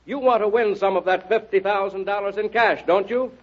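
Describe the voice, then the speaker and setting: A man announcing in 1930s radio style, delivering an emphatic, exhortative promotional pitch. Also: fairly even intonation, fairly quick. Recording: muffled vintage radio broadcast recording.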